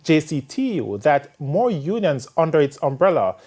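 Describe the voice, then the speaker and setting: A man's voice: on the high side, very animated, moderate pace, darkish tone. A man with a Jamaican accent reading the news from a script as an anchor.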